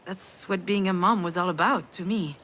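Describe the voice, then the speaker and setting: A woman's voice: fairly low pitch, normal speed, fairly bright tone. A woman playing a damaged, grieving mother in a narrative video game, confiding and hesitant as she reflects. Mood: gentle, storytelling.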